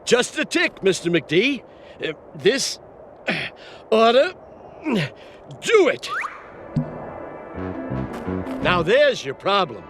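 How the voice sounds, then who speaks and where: A man's voice: high-pitched, huge pitch swings, slow, long pauses, exaggerated voice. A man voicing a cheerful, dim, easygoing pilot duck in a cartoon game, folksy and goofy. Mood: casual. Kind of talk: animated game voice acting. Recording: background music under the voice.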